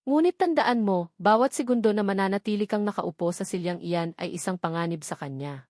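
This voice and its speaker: A woman narrator plays a rich old grandmother in a romance drama story. She is unyielding and commanding, speaking in hard, measured phrases. The recording is dry. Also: mid-range voice, a little mellow, dry recording, close to an everyday voice. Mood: firm, cautioning. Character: stern, powerful old wealthy grandmother, unyielding.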